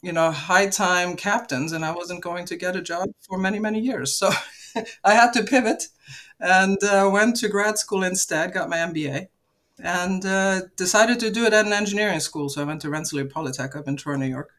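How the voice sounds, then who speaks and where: A woman's voice: on the low side, moderate pace, fairly bright tone. A woman in her fifties from Luxembourg, chatting upbeat and at length as a podcast guest about her career. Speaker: podcast guest, aviation executive. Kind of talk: casual interview conversation.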